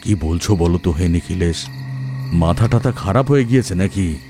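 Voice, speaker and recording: A man voicing a mild older male housemate in an audio horror story, unhurried, drowsy and easygoing. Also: sits low, animated, music in the background, pitched below the performer's own voice. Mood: dismissive.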